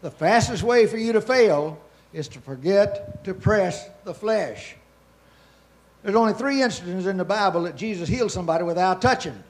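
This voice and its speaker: Older male country doctor, hoarse, plainspoken and folksy. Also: high-pitched, lively intonation, moderate pace. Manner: folksy, matter-of-fact. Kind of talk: self-introduction on a panel.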